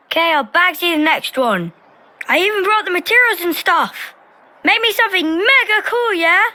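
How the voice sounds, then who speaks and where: A woman's voice: very high voice, expressive, normal speed, bright, forceful tone, exaggerated voice. A woman voicing a cheeky, brash, upbeat youngster in a video game, blunt and slangy in manner. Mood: eager, cheeky. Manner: blunt, slangy, British matey phrasing. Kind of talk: video game cutscene acting.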